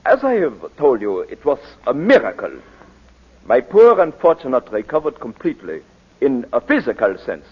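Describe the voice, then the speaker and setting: An actor playing a grave middle-aged country doctor, formal and deliberate in an old radio drama. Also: male voice, mid-range voice, wildly swooping pitch, breathy edge. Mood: solemn, dramatic. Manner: deliberate, formal, theatrical phrasing with dramatic pauses. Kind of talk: 1940s radio drama acting. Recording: dull 1940s radio broadcast recording.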